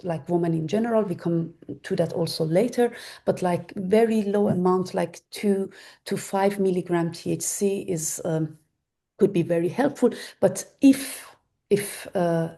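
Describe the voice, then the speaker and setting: A woman in her forties, a native Persian speaker, giving a webinar talk on medical research in a halting way, with many fillers and restarts. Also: fairly low pitch, gravelly, dark tone. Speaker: webinar presenter. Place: Germany.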